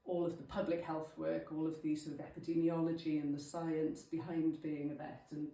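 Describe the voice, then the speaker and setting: A woman's voice: low voice, level tone, moderate pace, warm tone. A Welsh woman in her thirties, a native Welsh speaker, giving an informal, chatty, self-deprecating talk to a local group. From Wales. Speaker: guest speaker. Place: village hall. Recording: echoey hall, mic at a distance.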